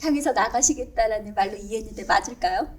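A woman's voice: fairly high pitch, fast, far from the mic, soft background music. A woman hosting a broadcast interview in a formal, courteous style. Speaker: interview host.

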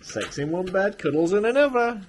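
A man's voice: high-pitched, expressive, normal speed. A Yorkshire man chatting casually and playfully as a travel vlogger. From Yorkshire, England. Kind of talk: casual vlog chat and voice-over. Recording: noisy handheld travel-vlog recording.

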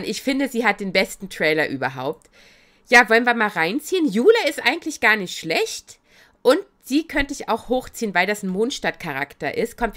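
A woman streaming a video game, chatting in a casual, excitable and giggly way. She has a cold that makes her voice slightly raspy. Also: medium pitch. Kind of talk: casual let's-play commentary.

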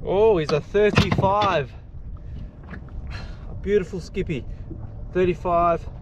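A man in his forties with an Australian accent, chatting excitedly to viewers while fishing from a kayak on a bay. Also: high voice, takes it slowly, lo-fi sound. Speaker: fishing vlogger. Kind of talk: casual running commentary while fishing. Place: kayak on open bay outdoors. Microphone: action camera mounted on kayak. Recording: wind and water noise outdoors.

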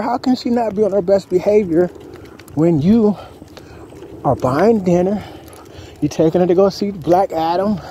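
A man's voice: high-pitched, warm tone. A man giving casual, slangy advice in a walk-and-talk vlog, repeating himself as he goes, recorded on a handheld phone. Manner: colloquial, slangy, repeats himself, acts out quotes. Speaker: vlogger. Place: outdoors on a street while walking dogs. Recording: noisy outdoor phone recording.

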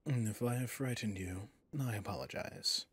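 A man playing a suave, possessive villain boss in an intimate ASMR roleplay, speaking in a courtly, seductive way with a breathy, husky voice. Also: fairly low pitch, moderate pace, a bit bright, dry recording. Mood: composed, commanding. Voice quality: breathy, husky, often near a whisper.

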